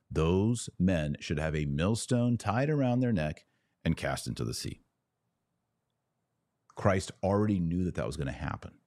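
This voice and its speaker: A man's voice: low voice, a little mellow, no echo. A man hosting a live religious commentary stream, reading out comments and answering them in an emphatic, preachy way with short repeated declarations. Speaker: podcast host.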